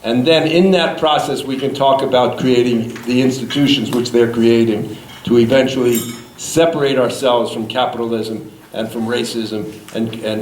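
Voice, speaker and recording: A man's voice: mid-range voice, darkish tone. A man on a community panel, speaking in an earnest, reflective way. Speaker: magazine panelist. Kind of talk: panel discussion. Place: public event hall. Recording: echoey room, faint noise.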